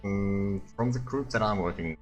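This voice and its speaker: A man's voice: fairly low pitch, normal speed, lo-fi sound, faint music behind, lightly acted. A man voicing a secretive, evasive male agent with a put-on Russian accent. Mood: guarded. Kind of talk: tabletop roleplay in character.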